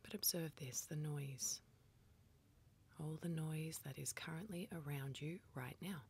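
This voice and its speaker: A woman guides a meditation in a low, hushed voice. Her sound is extremely breathy and whispery, with some creak at the edges. She gives calm, gentle instructions and leaves space between phrases. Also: a little slow.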